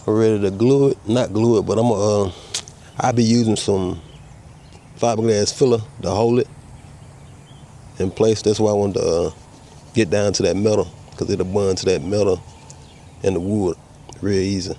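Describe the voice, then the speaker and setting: Low-voiced man with a head cold. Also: fairly even intonation, normal speed, long pauses, dark tone, slight background noise. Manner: easygoing, talks while working, explains each tool. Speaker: custom car restorer demonstrating a repair. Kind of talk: casual step-by-step how-to narration. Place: outdoor workshop.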